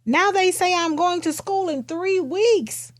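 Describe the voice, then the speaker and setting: A quiet, earnest kindergarten girl tells her storybook tale , read by a woman. The voice is high and the phrasing simple and expressive. It sounds dry, with hardly any room. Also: slow, no echo. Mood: earnest, matter-of-fact.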